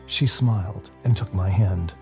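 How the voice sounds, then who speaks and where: A man reading a novel aloud as a first-person dramatic narrator, in a confessional, expressive storytelling manner. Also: sits low, unhurried. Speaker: author reading their own novel. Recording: music under the voice. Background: music.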